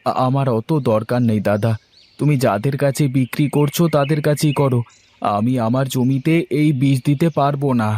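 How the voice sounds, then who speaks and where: A man's voice: mid-range voice, moderate pace, a little mellow, close to an everyday voice. A man voicing an honest, humble village farmer in a cartoon, respectful and plaintive. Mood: earnest. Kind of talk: cartoon dialogue.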